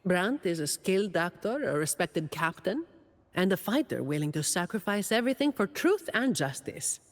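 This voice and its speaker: A woman playing a weary, dutiful young office employee in a video game, delivering scripted monologue in a dry, deadpan way. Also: on the low side, moderate pace, long reverb tail. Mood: earnest, reflective.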